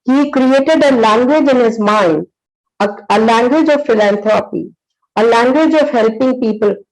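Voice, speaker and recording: A woman in her sixties with an Indian accent, giving a motivational talk as a psychologist. She tells stories earnestly, with many pauses and fillers. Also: fairly high pitch, a little mellow, poor audio.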